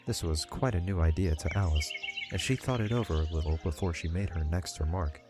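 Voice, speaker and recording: Male narrator, very low voice, scripted storybook reading, faint music underneath. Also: fairly quick, a little mellow.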